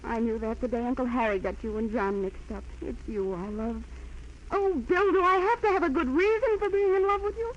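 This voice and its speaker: An actress playing a frank, coaxing young fiancée with an American accent in a 1940s radio drama. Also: female voice, high voice, fairly quick, natural voice. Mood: frank, loving. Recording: dull, noisy old 1940s radio recording.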